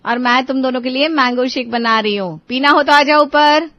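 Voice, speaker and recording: A woman's voice: high voice, moderate pace, sharp tone, no echo, voice pushed up. A woman voicing a teasing, nagging housewife and mother in a cartoon story, exclaiming and chiding. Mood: calling out.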